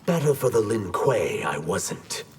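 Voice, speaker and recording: A man's voice: lowish voice, big pitch swings, unhurried, crowd noise. A man playing a proud reptilian humanoid fighter in a video game, terse and wary. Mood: guarded. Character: guarded, proud male reptilian humanoid warrior. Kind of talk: video game character dialogue.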